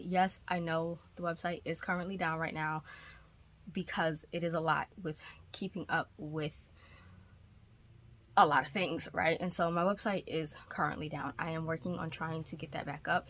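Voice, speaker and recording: A young woman hosting her faith vlog in a relaxed, rambling way, sounding nasal from a cold coming on. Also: low voice, slight background noise. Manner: relaxed, rambling, many ums. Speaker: YouTube ministry host. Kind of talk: casual chatty vlog.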